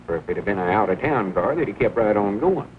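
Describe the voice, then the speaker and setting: A man's voice: on the low side, expressive, quick pace, close to an everyday voice. Man acting a folksy country storekeeper, tinny old film. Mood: folksy, deliberate. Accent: rural Southern American. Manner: folksy, opinionated. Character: earnest rural small-town storekeeper, folksy self-styled sleuth. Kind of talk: 1940s film comedy-drama acting. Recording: tinny, noisy old 1940 film soundtrack.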